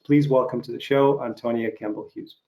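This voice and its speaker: A middle-aged man from Ukraine hosting a casual interview over a video call, talking in a halting, rambling way with frequent restarts. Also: mid-range voice, steady tone, mellow, rough recording. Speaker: interview host.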